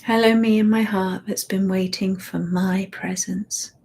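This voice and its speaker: A woman guides a meditation in a husky, breathy voice with a gravelly edge. She speaks very slowly and gently, her words often fading into soft whispers. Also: mid-range voice, steady tone. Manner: slow, soft and soothing, drifting into whispers.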